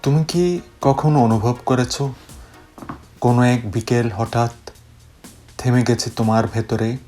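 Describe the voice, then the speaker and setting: A man recites poetry as spoken word in a measured, solemn and wistful way. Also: mid-range voice, steady tone, mellow. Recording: music bed under the voice. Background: background music.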